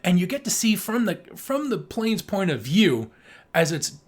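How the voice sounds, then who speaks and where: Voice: mid-range voice, sing-song, normal speed, slightly rough. An enthusiastic podcast co-host in casual film discussion, given to acting out sound effects and swearing casually.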